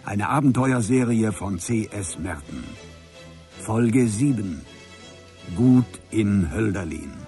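A man announcing the title of a radio play episode in a slow, deliberate way. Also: lowish voice, lively intonation, warm tone, faint music behind.

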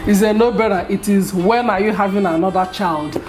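Animated Nigerian woman vlogging at home. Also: medium pitch, a little fast, faint music behind. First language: Igbo. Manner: animated, scolding, expressive with hand gestures. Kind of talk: get-to-know-me tag vlog. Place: small indoor room at home. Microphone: camera at close range.

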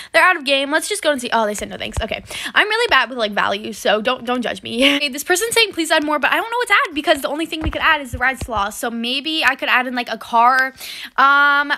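A young woman with a slightly stuffy nose, chatting casually through a game with lots of fillers and excited exclamations. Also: high-pitched, a little hiss or hum. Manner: chatty, full of fillers and "oh my gosh". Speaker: gaming video creator. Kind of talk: casual gameplay commentary.

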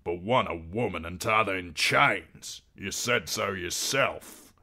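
A man voicing a gruff, scornful outlaw in a storybook, muttering and dismissive. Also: sits low, takes it slowly, sharp tone, exaggerated voice.

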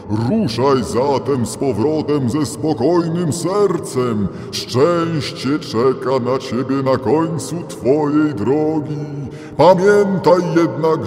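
A man playing a thundering, solemn God in a storybook audio drama, speaking deliberately through heavy reverb. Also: mid-range voice, darkish tone. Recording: long cavernous reverb.

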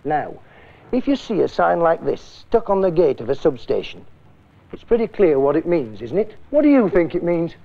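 A man's voice: a little high, big pitch swings, moderate pace, creaky, exaggerated voice. A man playing a stern, blunt middle-aged British science teacher, questioning and warning a class in a safety film. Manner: emphatic, questioning, admonishing. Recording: muffled, thin old public information film.

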